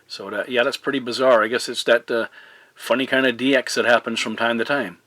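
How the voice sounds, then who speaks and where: A man's voice: medium pitch, fairly even intonation, brisk, sharp tone, a little hiss or hum. A man from Newfoundland, Canada, chatting casually as a CB radio operator, polite and hesitant, using radio procedure words. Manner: hesitant, polite, spells in phonetic alphabet. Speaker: CB radio operator and video maker. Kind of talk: casual radio contact chat with radio protocol. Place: home radio desk, indoors. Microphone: camera pointed at the radio.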